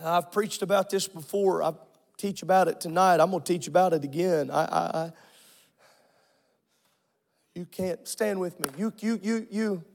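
A male pastor preaching and praying with fervour, repeating phrases, out of breath and swinging from shouts to low murmurs. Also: normal speed, creaky, long pauses. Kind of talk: Pentecostal preaching and prayer. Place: church sanctuary with congregation.

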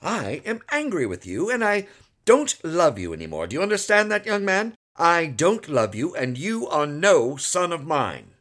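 A man's voice: fairly low pitch, huge pitch swings, takes it slowly, hardly any pauses, fairly bright tone, full character voice. A man reading the part of a stern lawyer father who scolds his son emphatically, in an audiobook. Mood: scolding.

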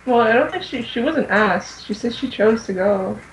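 A woman's voice: a little high, lively intonation, normal speed, low-quality recording. A young woman co-hosting a podcast, chatting casually in informal, filler-heavy speech. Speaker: podcast co-host. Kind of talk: casual chat.

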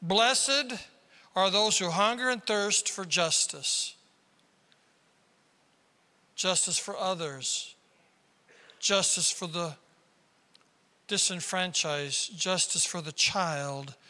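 A man from South Dakota preaching a sermon as pastor, with a squeaky tenor voice and emphatic delivery that climbs into sudden loud bursts. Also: slow, long pauses. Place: large church hall. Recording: reverberant hall.

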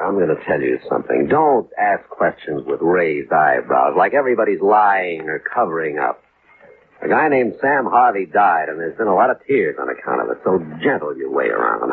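Deep-voiced, prickly schemer. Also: male voice, very animated, normal speed, slightly rough, exaggerated voice. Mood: prickly, warning. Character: prickly, cagey male American Army interpreter, slyly familiar. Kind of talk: 1950s radio drama acting. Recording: muffled, noisy 1950s radio recording.

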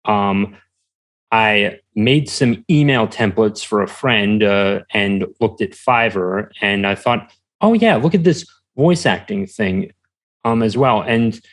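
A young man answering as an interview guest, talking casually in a hesitant, halting way. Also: fairly low pitch, a bit bright.

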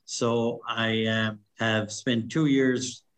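A man's voice: medium pitch, fairly even intonation, very slow, fairly bright tone, smooth. An Irish man talking easily as a guest, with loose fillers in his chat. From Ireland. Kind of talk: casual phone chat.

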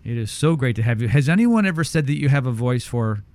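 A man's voice: medium pitch, expressive, fast, darkish tone, dry recording. A man hosting a radio show, talking in an upbeat, salesy, emphatic way in a studio. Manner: salesy, emphatic, repeats phone numbers. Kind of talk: upbeat radio talk and read ads.

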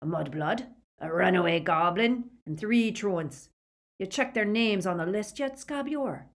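A woman voicing a gloating, savage male werewolf villain in an audiobook, with a menacing, rasping growl. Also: mid-range voice, expressive, a little slow, voice pushed up. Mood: roaring, gloating. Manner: menacing, snarling.

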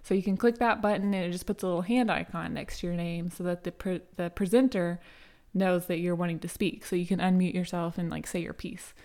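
A young woman with a slightly raspy voice hosts a relaxed solo podcast. She talks in a loose, rambling way, full of "um" and "like", and keeps coming back to restart her sentences. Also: lowish voice, a little fast. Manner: chatty, many fillers and restarts, light laughs. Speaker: podcast host. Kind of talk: casual solo podcast storytelling.

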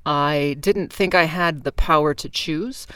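Deep-voiced lady hosting a faith chat, earnest, dry close sound. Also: unhurried, sharp tone, clear, resonant tone, dry recording. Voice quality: deep voice for a woman. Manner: says "you know" often, earnest. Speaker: podcast host. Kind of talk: faith-based conversation.

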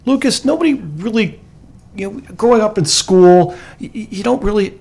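A man's voice: high-pitched, expressive, normal speed, a little mellow. Chatty, rambling man co-hosting a talk show. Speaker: co-host. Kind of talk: casual radio talk show.

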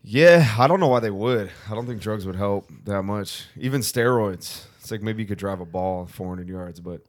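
A man's voice: lowish voice, moderate pace. A man from Southern California with an American accent, chatting casually and candidly as a podcast guest. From Southern California, United States.

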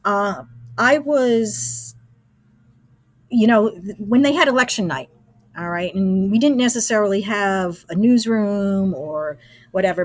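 An American woman in her sixties from Brooklyn, chatting casually and easygoing as she tells anecdotes as an interview guest. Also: mid-range voice. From Brooklyn, New York, USA. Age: 60s. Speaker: interview guest, former college radio engineer. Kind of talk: casual reminiscing.

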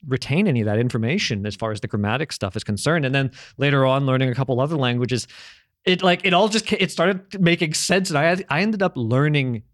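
A man's voice: mid-range voice, lively intonation, fast, dry recording. Rambling, enthusiastic man with a dry sound. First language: English, grew up also speaking French at home. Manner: rambling and enthusiastic, many restarts, casual swearing. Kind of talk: casual conversational explaining.

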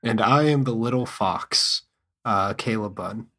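Husky man with a Southern accent. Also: fairly low pitch, unhurried, bright, forceful tone. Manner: wry, teasing. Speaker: podcast co-host. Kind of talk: casual podcast chat.

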